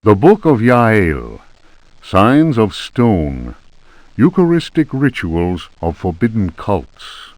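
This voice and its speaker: An actor in a video game playing a solemn announcer who reads out forbidden religious tomes in a portentous style. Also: male voice, lowish voice, wildly swooping pitch, slow, darkish tone, no room sound, full character voice. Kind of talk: slow, portentous title reading.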